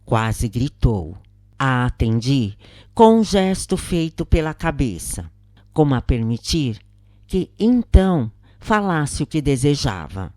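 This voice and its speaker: A Brazilian woman reading a scripted story as a narrator, in measured, deliberate phrases with pauses. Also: very deep voice, big pitch swings, warm tone, no echo, clean studio sound. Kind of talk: scripted audiobook-style narration of a spiritist account.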